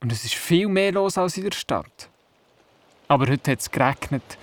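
A man's voice: a little high, expressive, moderate pace, natural voice. An actor with a Swiss German accent playing a curious, imaginative grandson who narrates his adventures in a radio play. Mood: storytelling. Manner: inquisitive, childlike storytelling. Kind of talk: scripted first-person radio play narration.

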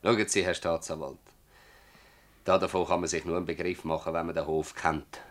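An actor playing a stubborn, bitter old village farmer in a Swiss German dialect radio play, rambling and emphatic with rhetorical repetition. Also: male voice, low-pitched, flat delivery, fairly quick, lightly acted. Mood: stubborn, earnest.

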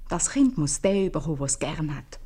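Husky, low-voiced Swiss innkeeper's wife. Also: female voice, lively intonation, normal speed, mellow. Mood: wistful, firm. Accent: Swiss German. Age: middle-aged. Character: worried, plain-spoken innkeeper's wife, mother of a runaway daughter. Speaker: actress in a radio play. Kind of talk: 1950s Swiss German radio comedy acting.

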